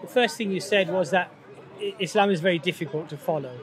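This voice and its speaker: A man lectures firmly and leans on rhetorical questions as he argues on a city street. His voice sits high for a man. He speaks outdoors among the bustle. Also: a little fast, crowd noise. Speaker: street preacher. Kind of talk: street debate.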